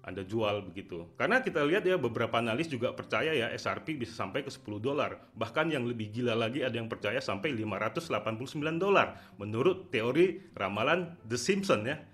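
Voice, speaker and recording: A man's voice: medium pitch, expressive, fast, hardly any pauses, fairly bright tone. A man giving casual, conversational market commentary as a video host, often ending phrases with a tag word. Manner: conversational, repeatedly tags phrases with "ya".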